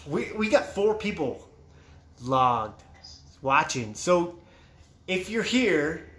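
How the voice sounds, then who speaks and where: A man's voice: fairly high pitch, sing-song, takes it slowly, a bit bright. A man hosting a casual livestream podcast, chatty and loose, swearing casually. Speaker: host. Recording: echoey room with faint noise.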